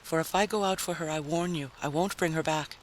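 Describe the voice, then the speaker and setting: A man's voice: fairly high pitch, brisk, a little hiss or hum, close to an everyday voice, low-quality recording. A man voicing a cynical male wasteland drifter in a story reading, with a blunt, theatrical, snarling manner. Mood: urgent.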